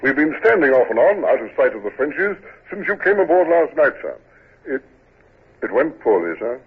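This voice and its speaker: An actor playing a loyal, deferential first officer with a raspy voice, in a 1940s radio drama. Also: male voice, medium pitch, very animated, normal speed, full character voice. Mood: earnest. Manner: deferential, always adds sir. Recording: tinny old radio broadcast.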